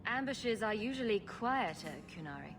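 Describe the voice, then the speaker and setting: An actress playing a bold adventurer heroine in a video game, with an assured, sardonic delivery. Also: female voice, medium pitch, animated, moderate pace, background noise, natural voice. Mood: wry. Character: bold, dry-witted adventurer heroine, adult woman. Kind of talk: dramatic video game acting.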